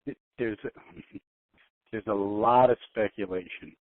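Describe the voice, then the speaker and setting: Guy commenting on a call, lowish voice, stumbles n repeats, tinny phone audio. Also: dry recording. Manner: halting, repeats phrases. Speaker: call panelist. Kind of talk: informal commentary on conference call. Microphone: telephone. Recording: narrowband tinny phone line.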